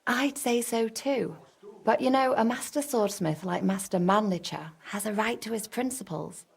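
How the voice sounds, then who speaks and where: A woman's voice: medium pitch, moderate pace, creaky, slightly rough. A woman playing a flirty, cheerful medieval serving woman with a coy, teasing manner in a video game. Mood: chatty, explanatory. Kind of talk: naturalistic game dialogue.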